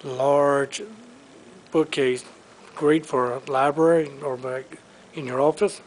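A man's voice: mid-range voice, fairly even intonation, slow pace, a little hiss or hum, rough recording. A man giving an auction preview walk-through, naming items one by one in short clipped phrases, recorded on a handheld camera in a large showroom. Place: large indoor auction showroom full of furniture. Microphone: handheld camera microphone.

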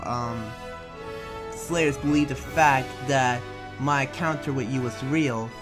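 A man's voice: medium pitch, close to an everyday voice. A man playing a friendly, awkward young demon slayer in improvised game roleplay, talking in a hesitant, rambling, casual way. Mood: earnest, troubled. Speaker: roleplayer in a Minecraft anime roleplay. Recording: music playing behind the voice.

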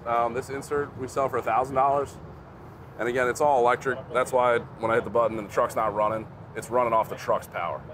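A man's voice: medium pitch, fairly even intonation, quick pace, a crowd in the background. A man exhibitor demonstrating a truck bed conveyor at an outdoor trade show, plain and direct. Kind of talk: product demo explanation.

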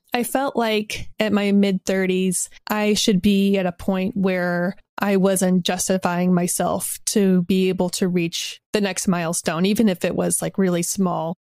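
A woman's voice: lowish voice, darkish tone, dry recording, studio quality. A woman in her thirties or older talking casually as a podcast guest, in an informal, rambling and self-deprecating way. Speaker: podcast guest, audio drama creator. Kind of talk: casual interview conversation.

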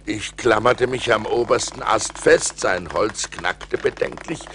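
An actor playing a shipwrecked sailor who recounts his perils in a husky, theatrical, suspenseful way, in an audio drama from a vinyl record. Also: lowish voice, a bit bright, slight background noise. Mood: fearful. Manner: dramatic, suspenseful delivery with deliberate pauses. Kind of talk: theatrical radio-play storytelling narration.

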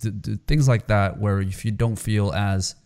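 A man's voice: fairly low pitch, fairly even intonation, unhurried, darkish tone, clean tone. A young man hosting a podcast, asking questions hesitantly into a close studio microphone, often repeating words. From South Korea. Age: young adult. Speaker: podcast host. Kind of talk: interview questions. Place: home room.

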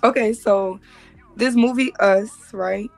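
A woman's voice: mid-range voice, slow pace, vocal fry, soft background music. A woman in her thirties, joining a live stream over a video call and explaining things emphatically, often repeating herself. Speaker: guest.

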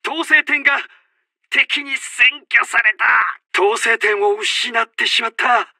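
An actor playing a commanding, urgent Japanese army officer who barks out short battle announcements in a military video game. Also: male voice, very high voice, takes it slowly, vocal fry, pushed and bright, slightly rough, dry recording, clean studio sound, exaggerated voice. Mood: alarmed, stern.

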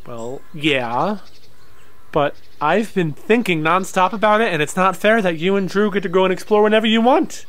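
A man acting an eager, grumbling boy in an audio drama, whiny and excitable. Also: sits high, normal speed. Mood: pleading, whiny.

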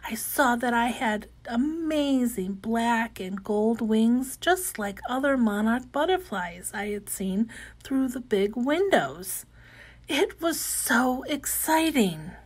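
A woman voicing a cheerful, wide-eyed young caterpillar turned butterfly in an expressive, gentle children's storybook reading. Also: a little high. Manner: gentle, expressive, with excited exclamations. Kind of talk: animated read-aloud of children's picture book.

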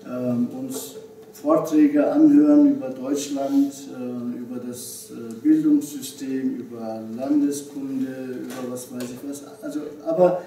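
A man's voice: medium pitch, fairly even intonation, takes it slowly, mellow, slight background noise. A man, a youth leader, telling a group of teenagers what to expect, with fillers. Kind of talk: addressing a group. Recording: echoey room from far off.

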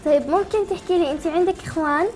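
A woman's voice: very high voice, racing, darkish tone, faint music behind. A woman hosting a chatty live TV call-in, asking simple, encouraging questions. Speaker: TV show host.

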